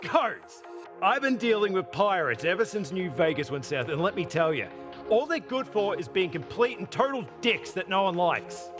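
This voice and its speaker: Brash, animated starship captain. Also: male voice, high voice, normal speed, music in the background. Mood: incredulous, irritated. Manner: crude, irreverent, slangy. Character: brash, cocky, foul-mouthed male starship captain. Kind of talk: scripted video game dialogue.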